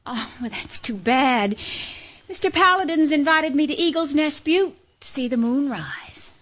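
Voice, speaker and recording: A spirited young frontier woman, coy and impatient, with a high voice that swings widely. The radio western sounds dull and old. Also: expressive, measured pace, a bit bright. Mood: mischievous. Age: young adult. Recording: dull vintage radio recording.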